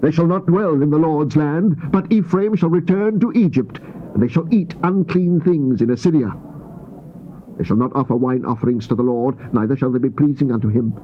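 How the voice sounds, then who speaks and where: A man's voice: a little high, moderate pace, close to an everyday voice. Dull tape of a wrathful prophet, acted by a man. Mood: stern judgment. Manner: solemn, declamatory, theatrical delivery. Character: wrathful, grieving prophet speaking as God. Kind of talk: dramatized King James scripture reading. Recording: dull, noisy old cassette tape.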